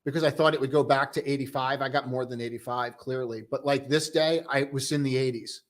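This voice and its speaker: A young man casually hosting a live stock-trading stream, chatting loosely and joking with viewers in a relaxed, tired way. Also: mid-range voice, a little fast. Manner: loose, joking, full of restarts and "dude". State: tired, low on coffee.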